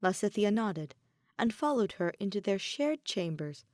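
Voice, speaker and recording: A woman narrating a fan fiction story in an even, measured storytelling manner. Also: mid-range voice, no echo, studio quality. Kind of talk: audiobook narration.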